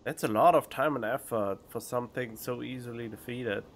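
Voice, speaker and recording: Casual male game streamer, mid-pitched voice. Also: moderate pace. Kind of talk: casual gameplay commentary. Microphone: studio microphone.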